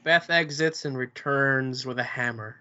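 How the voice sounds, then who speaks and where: A man's voice: medium pitch, measured pace, sharp tone, muffled recording. A man reading stage directions aloud at a table read, plain and matter-of-fact, with small slips.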